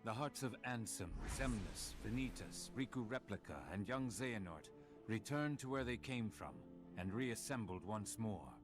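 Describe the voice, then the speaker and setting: Guy doing super deep serious game villain, formal and stoic, dutiful planner type, music in back. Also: lively intonation, moderate pace, lightly acted. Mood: grave, explanatory. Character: grave, dutiful male villain strategist, coolly formal. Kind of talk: dramatic video game cutscene acting.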